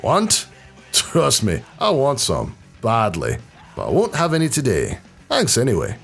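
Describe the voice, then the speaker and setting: Brash hard-drinking Irish rogue played by a man, wildly swinging pitch. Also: huge pitch swings, a little slow, creaky, soft background music, heavily acted. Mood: curt, sardonic. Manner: blunt, sardonic, foul-mouthed.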